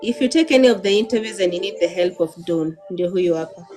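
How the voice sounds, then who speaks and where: A woman's voice: lowish voice, fast talker, darkish tone. Kenyan female host, young, coaching style, code-switching, faint background music. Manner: mixes English and Swahili, coaching tone. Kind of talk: live-stream interview.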